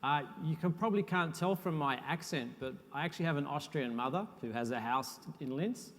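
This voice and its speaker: Male conference presenter, slightly high voice, easygoing and self-deprecating, recorded in a reverberant hall. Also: brisk. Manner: relaxed, self-deprecating presenter with frequent uhs. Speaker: conference speaker, software architect. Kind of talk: technical conference talk.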